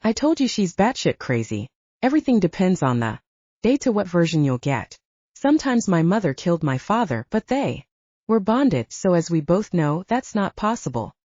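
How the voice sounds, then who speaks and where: A candid, self-mocking young female werewolf soldier, acted out by a woman audiobook reader. Her chatty delivery swings widely in pitch, with a mellow tone on a slightly muffled, dry recording. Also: sing-song, normal speed, dry recording. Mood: candid, wry. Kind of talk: audiobook character dialogue. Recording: dull, lo-fi sound.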